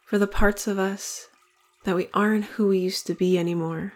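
A woman leading a guided meditation in slow, deliberate phrases with long pauses between them. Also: mid-range voice, steady tone.

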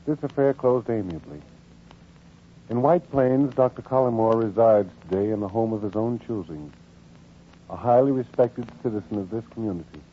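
A man's voice: fairly low pitch, normal speed. A man giving formal, dramatic scripted narration in 1940s radio style. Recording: noisy, dull 1944 radio recording.

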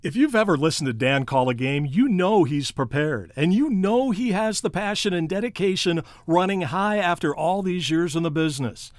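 A man's voice: sits high, animated, normal speed, few pauses, dry recording, studio quality. An American man delivering a scripted sports podcast outro as its host. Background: music bed.

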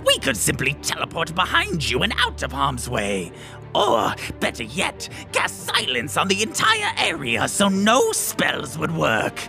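A man's voice: fairly high pitch, wildly swooping pitch, a little slow, vocal fry, bright, forceful tone, soft background music, exaggerated voice. A man voicing a pompous, easily exasperated paladin villain leader in a comedic cartoon, speaking grandly. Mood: haughty, wary.

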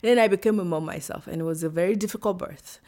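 Young woman founder, lowish voice, earnest and animated. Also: quick pace. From Nigeria and the US. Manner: earnest, animated, many restarts. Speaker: startup founder, guest. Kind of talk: interview conversation.

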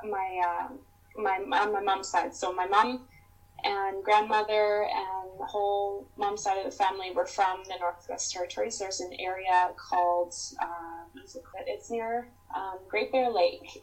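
A woman's voice: medium pitch, poor audio. A Canadian woman talking as a podcast guest on a remote call, reflective and hesitant, with many fillers. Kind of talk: casual remote podcast chat.

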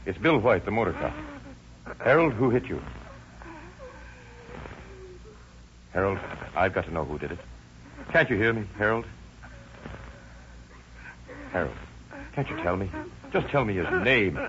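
An actor playing a determined motor cop in an American 1930s radio crime drama. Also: male voice, lowish voice, very animated, normal speed, long pauses, a bit bright. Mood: urgent. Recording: noisy, dull old radio recording.

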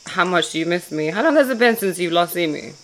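A woman with a husky voice hosting a casual podcast chat, teasing and laughing. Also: lowish voice, expressive, quick pace, low-quality recording. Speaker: podcast host.

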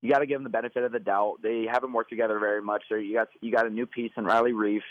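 A man with a fairly low voice calls in to a sports podcast over a phone line. His voice is hoarse from yelling, and he talks in a loose, casual way full of fillers. The sound is dull and dry. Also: level tone, racing, no echo. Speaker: podcast co-host.

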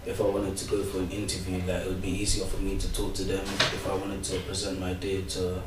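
A man's voice: low voice, monotone, a little fast. A sixteen-year-old male, casually answering interview questions. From North West London. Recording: distant, noisy, very echoey room.

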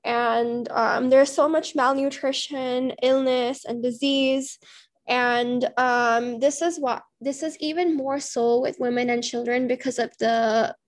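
Young woman from Pakistan, interview guest, slightly high voice, earnest, lots of pauses. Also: fairly even intonation. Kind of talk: casual interview answers.

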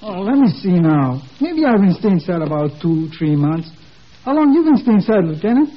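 An excitable young soldier in a 1950s radio play, talking in artless broken English. The voice is high and swoops in pitch, with a muffled old-radio sound. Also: male voice, moderate pace, breathy edge, heavily acted. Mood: sheepish, eager. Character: small, excitable, eager young male army recruit. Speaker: actor in a radio drama. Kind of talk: 1950s radio drama acting.

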